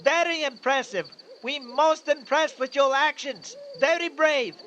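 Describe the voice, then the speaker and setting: A man's voice: extremely high pitch, measured pace, pushed and bright, lo-fi sound, slight background noise, heavily acted. A man playing a formal foreign scientist with a Japanese accent and stilted broken English in a comic radio drama. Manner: solemn, stilted, article-dropping.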